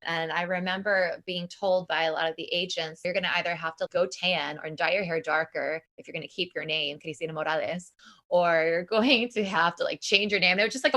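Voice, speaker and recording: A woman's voice: on the low side, a bit bright. A woman in her thirties, a singer and bandleader, chatting casually and eagerly as a podcast guest, with many fillers. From Puerto Rico. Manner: enthusiastic, many "like" fillers, occasional restarts. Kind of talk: casual interview chat.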